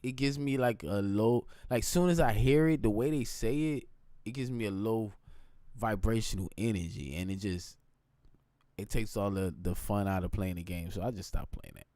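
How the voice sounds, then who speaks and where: A man with a deep, low voice talking informally in a casual, rambling livestream monologue, often checking in with his listeners. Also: normal speed, dark tone, no echo. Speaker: live streamer.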